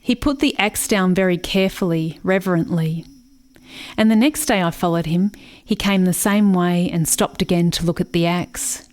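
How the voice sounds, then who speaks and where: An actress in a radio play playing a grieving, watchful country sister, narrating in a reflective, measured way. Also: female voice, fairly low pitch, darkish tone, slight background noise, close to an everyday voice. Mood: wistful.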